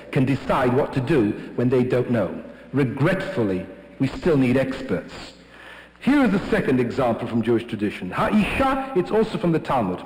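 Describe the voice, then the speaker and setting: Man rabbi giving a sermon, kinda high voice, big echoey hall. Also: darkish tone. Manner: emphatic, sweeps up high for stress, quotes Hebrew texts. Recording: echoey hall, long reverb.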